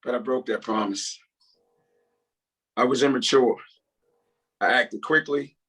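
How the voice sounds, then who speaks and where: A man reading the part of a repentant, humble prison inmate, earnest and deferential, in a halting script table read. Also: mid-range voice, a bit bright, husky. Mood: reflective, remorseful. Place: video call.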